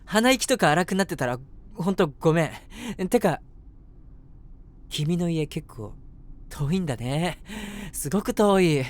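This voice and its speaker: A man's voice: a little high, expressive, a little slow. A man playing an eager, submissive, lovestruck young man in an audio drama, chatting and rambling to the listener with self-mockery. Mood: nervous, giddy. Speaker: voice actor. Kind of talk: situation voice drama, first-person monologue to the listener.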